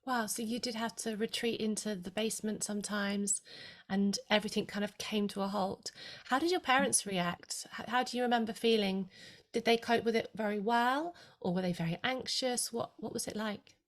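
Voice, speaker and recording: A woman's voice: medium pitch, normal speed. A woman hosting a podcast, asking eager, rambling questions in an enthusiastic way. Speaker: podcast host. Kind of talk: podcast interview.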